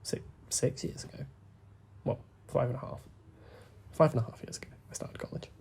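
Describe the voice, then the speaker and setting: A young man, talking softly and rambling as he plays a game, full of fillers and asides. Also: in a whisper. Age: young adult. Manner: soft, deliberately hushed talk with frequent fillers. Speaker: gaming YouTuber. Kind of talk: rambling gameplay commentary.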